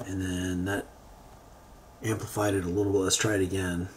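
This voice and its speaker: A man giving an unscripted how-to software demonstration, thinking aloud in a halting way as he works. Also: low-pitched, little pitch movement. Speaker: tutorial presenter. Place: indoors, beside a computer. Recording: homemade recording with background noise.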